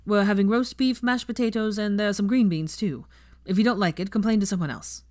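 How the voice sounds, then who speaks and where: A woman's voice: mid-range voice, fairly quick, a little mellow, pitched above the performer's own voice, natural voice. A woman voicing a blunt, casual young father in a story reading. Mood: brisk. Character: blunt, gruff young father, protective friend. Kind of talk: fan fiction audiobook character voice.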